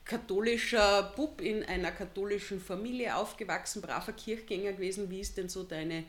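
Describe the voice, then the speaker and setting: A woman's voice: medium pitch, brisk. A woman, asking questions as the interviewer in a conversational style.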